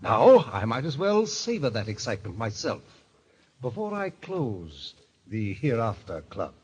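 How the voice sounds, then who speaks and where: An actor playing a suave, sinister gentleman who runs a deadly gambling club, formal and coolly courteous, in old-time radio drama style. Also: mid-range voice, wildly swooping pitch, unhurried. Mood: coolly affronted. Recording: muffled vintage radio recording.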